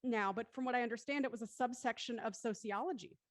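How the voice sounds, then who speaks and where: A woman's voice: a little high, fast talker, dry recording. A woman hosting a casual live online discussion, talking in an enthusiastic, wordy way as she thinks aloud. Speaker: host of a live web show after-talk. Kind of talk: casual chat, moderating a discussion.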